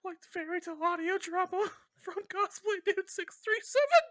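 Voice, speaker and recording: Man putting on a squeaky, whining promo voice. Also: expressive, moderate pace, a bit bright, dry recording, studio quality. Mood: whiny, reluctant.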